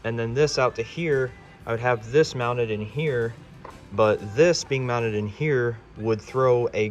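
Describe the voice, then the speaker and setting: A man presents a hands-on electronics project in a casual, conversational way. His voice sits at an average pitch. Music plays clearly in the background.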